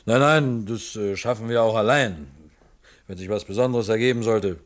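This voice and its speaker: An actor playing a police inspector in a German radio mystery, formal and polite, brisk but rattled and urgent. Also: male voice, on the low side, close to an everyday voice. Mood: businesslike. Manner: formal, polite, hesitant when shaken.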